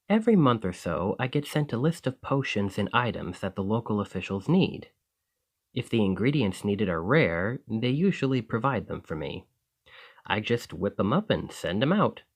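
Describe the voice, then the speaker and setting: A man playing a friendly, excitable village alchemist in an ASMR roleplay, chatting eagerly to the listener in a slightly flustered, rambling way. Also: mid-range voice. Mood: eager, explanatory.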